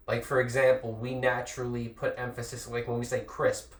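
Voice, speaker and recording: A man's voice: medium pitch, monotone, fairly quick. A man co-hosting a casual podcast, explaining his ideas in informal, sweary language. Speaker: podcast co-host. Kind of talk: casual group chat. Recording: echoey room, distant mic.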